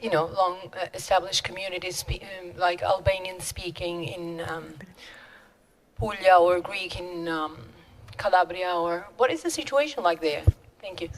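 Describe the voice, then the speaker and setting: A woman's voice: on the low side, low-quality recording. A woman in the audience politely asking a question at a conference. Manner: polite, slightly halting questioner. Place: conference hall.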